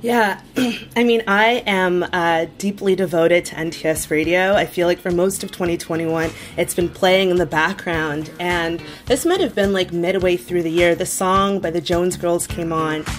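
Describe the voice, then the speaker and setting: Woman guest on a music show, lowish voice, careful and thoughtful, faint music behind. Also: normal speed, hardly any pauses. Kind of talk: radio panel chat.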